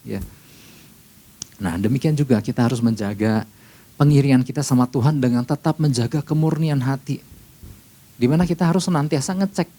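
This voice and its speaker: A man's voice: medium pitch, mellow. A man preaching a conversational sermon as a pastor, explaining step by step and repeating key phrases. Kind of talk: sermon, conversational teaching with scripture reading.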